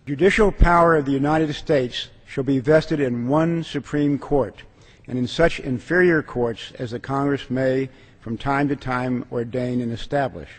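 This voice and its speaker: A man with a rough-edged, mid-pitched voice reads a formal text aloud. The sound carries the long reverb of a large chamber. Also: unhurried. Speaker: legislator reading a legal text aloud.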